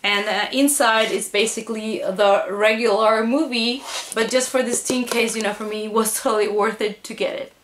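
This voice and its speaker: A woman's voice: fairly high pitch, fairly even intonation, moderate pace, a bit bright, a little hiss or hum. A woman chatting casually and enthusiastically as she hosts a haul video, gushing about what she shows. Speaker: video host showing a collectibles haul. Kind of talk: casual haul vlog chat. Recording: echoey room.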